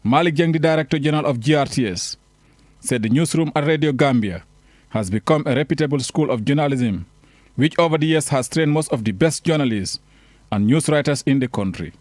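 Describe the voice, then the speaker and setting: A man delivering a scripted news report in a brisk, formal way. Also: a little high, animated, a little mellow.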